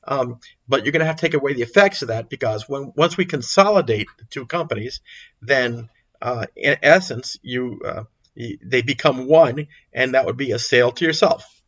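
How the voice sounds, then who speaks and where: A man's voice: mid-range voice, moderate pace, fairly bright tone, highs cut off. A man giving an informal explanatory lecture as an accounting instructor, repeating and rephrasing his points for emphasis. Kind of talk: explanatory lecture over a worked example.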